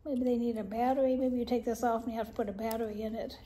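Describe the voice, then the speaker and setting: An older woman casually showing off her shopping haul at home, chatty and rambling with soft laughs and many ums, a little congested. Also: fairly high pitch, fairly even intonation, fast. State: slightly congested, clearing throat. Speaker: haul vlogger. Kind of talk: casual haul show-and-tell. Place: living room at home, indoors.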